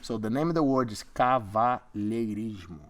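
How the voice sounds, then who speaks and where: Animated Brazilian man in casual banter. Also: on the low side, normal speed. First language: Portuguese. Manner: animated, teasing, repeats phrases. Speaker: co-host of a martial arts podcast. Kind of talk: casual chat.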